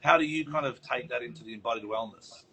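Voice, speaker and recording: A man's voice: mid-range voice, fast talker, gravelly, pushed and bright, breathy edge, poor audio. A man hosting a casual interview, talking in a loose, rambling and joking way over a video call. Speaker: host.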